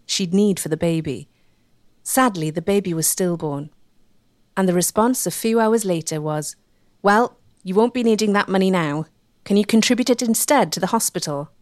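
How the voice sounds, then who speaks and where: Female documentary narrator, scripted read, medium pitch, dry studio-tight sound. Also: dry recording.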